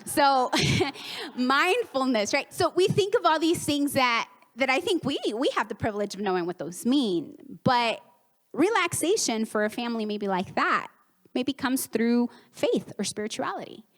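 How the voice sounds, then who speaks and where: A woman's voice: high voice, big pitch swings, moderate pace. A woman in her thirties, a therapist whose first language is Spanish, giving a conversational conference talk with acted-out quotes. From United States, Mexican home. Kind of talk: conference presentation. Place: conference hall. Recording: reverberant conference hall.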